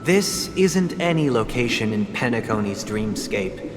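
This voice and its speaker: An actor playing a composed, devout young male villain in a video game, speaking in a measured, formal, solemn way. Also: mid-range voice, animated, lightly acted. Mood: calm, controlled. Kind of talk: dramatic video game voice acting. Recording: long reverb, loud game music behind.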